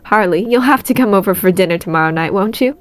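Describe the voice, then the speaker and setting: A woman's voice: mid-range voice, animated, fast talker, dry recording, natural voice. A woman voicing a protective, inquisitive aunt in a story reading, fussing and full of questions. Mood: relenting.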